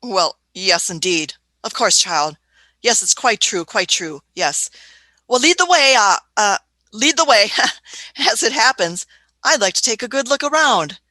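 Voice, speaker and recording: A woman's voice: medium pitch, sing-song, measured pace, sharp tone, no echo. A woman in a radio play acting an eccentric, imperious older time traveller who is fussy, hesitant and repeats her phrases. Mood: fussy, indignant.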